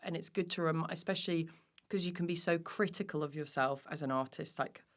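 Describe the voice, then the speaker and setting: A woman comedian chatting casually as a podcast guest, animated, self-deprecating and happy to swear. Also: deep voice, brisk. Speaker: guest comedian and physical performer.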